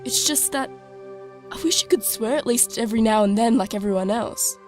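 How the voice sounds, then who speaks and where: A woman's voice: on the high side, moderate pace, darkish tone, soft background music, close to an everyday voice. A young woman playing a worldly, teasing, sarcastic teenage girl in an audio drama. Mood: exasperated, teasing. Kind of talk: scripted audio drama dialogue.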